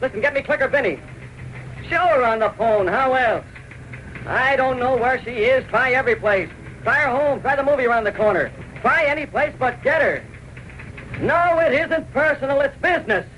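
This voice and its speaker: Newspaper reporter, very high pitch, snappy, eager, wisecracking, old radio serial, faint noise. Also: male voice, lively intonation, normal speed, sharp tone, exaggerated voice. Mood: urgent. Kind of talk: 1930s-40s radio drama acting. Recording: noisy old-time radio recording.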